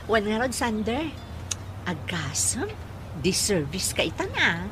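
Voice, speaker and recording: A woman's voice: mid-range voice, very animated, takes it slowly, noisy background. A woman playing a frank, friendly young job seeker in an Ilocano audio drama, candid and outspoken. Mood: reproachful.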